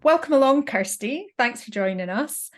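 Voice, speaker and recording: A woman hosting a podcast, reading a clear, upbeat scripted introduction and then interviewing her guest in a friendly way. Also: high voice, expressive, moderate pace, faint music behind. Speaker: podcast host.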